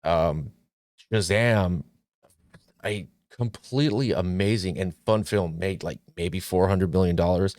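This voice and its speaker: A man's voice: deep voice, a little mellow, no echo, studio quality. A man hosting his own movie talk show, chatting casually with jokes and plenty of restarts. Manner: joking, rambling, frequent restarts and fillers.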